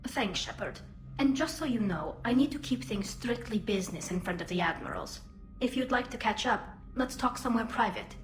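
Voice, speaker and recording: A woman's voice: a little high, animated, normal speed, faint music behind. An actress voicing a young female alien admiral, earnest and self-doubting, through a helmet filter in a video game. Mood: earnest, guarded. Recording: roomy, faint ambient noise.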